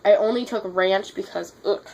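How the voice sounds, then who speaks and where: A woman's voice: mid-range voice, moderate pace, a little mellow, slight background noise. A teenage girl vlogging, sick and losing her voice with a runny nose, telling a story in a blunt, exasperated way and swearing freely. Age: teens. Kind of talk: casual storytelling vlog.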